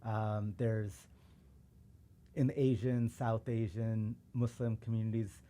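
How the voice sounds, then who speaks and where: A man's voice: lowish voice, little pitch movement, slow, warm tone. A man from suburban Chicago whose first language was Urdu, a guest telling his experiences in an earnest, reflective way. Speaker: podcast guest, civil rights lawyer. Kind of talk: casual interview chat.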